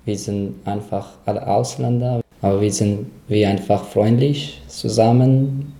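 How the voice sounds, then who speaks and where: A man from Afghanistan, a native Dari speaker with an Afghan accent, giving casual interview answers and haltingly searching for words. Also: lowish voice, fairly even intonation, warm tone. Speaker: interviewee, asylum seeker. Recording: roomy, echoing sound.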